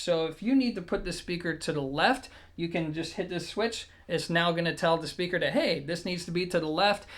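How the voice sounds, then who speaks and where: A man giving a conversational, methodical product review, explaining things to viewers point by point as an audio gear reviewer. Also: fairly high pitch.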